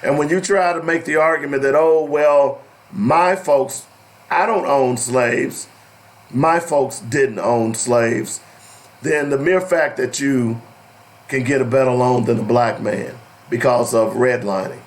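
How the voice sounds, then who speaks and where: A man hosting an opinionated radio talk show, deliberate and emphatic, with a strained, cracking voice that is giving out. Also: mid-range voice, rough recording. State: losing his voice. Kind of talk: opinionated radio talk, partly reading aloud.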